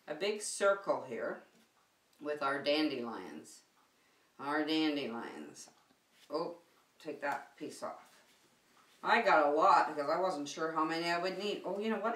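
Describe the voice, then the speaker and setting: Casting: middle-aged female preschool host, highly expressive pitch, simple encouraging delivery, recorded away from the mic in an echoey room. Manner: animated, sing-song, encouraging, simple phrasing for small children. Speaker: preschool circle time teacher and host. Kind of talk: children's lesson with craft demonstration. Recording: echoey room, distant microphone.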